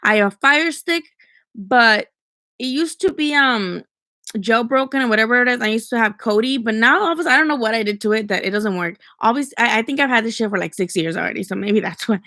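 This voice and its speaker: A woman's voice: fairly high pitch, fairly quick, pushed and bright, no echo, studio quality. A young woman from Mexico with a Mexican accent, Spanish her first language, chatting casually on a livestream at home, opinionated, swearing freely and filling gaps with "um" and "like". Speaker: boxing streamer answering chat.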